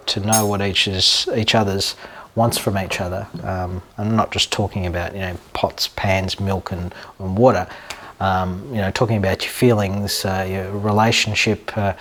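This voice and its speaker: A man's voice: on the low side, a bit bright, slight background noise. A man in his thirties explaining his view earnestly in an interview in a home kitchen. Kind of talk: documentary interview.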